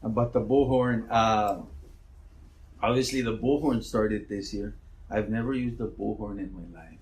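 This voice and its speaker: Loud-voiced middle-aged man. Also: lowish voice, unhurried, poor audio. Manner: relaxed, rambling with restarts. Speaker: school principal. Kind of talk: casual interview answers.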